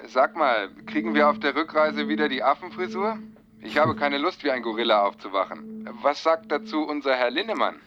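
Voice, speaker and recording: A man's voice: fairly high pitch, expressive, fairly quick, highs cut off, close to an everyday voice. An actor playing a nonchalant, grumbling spaceship crewman in casual radio drama dialogue. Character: laid-back, grumbling male spaceship crewman.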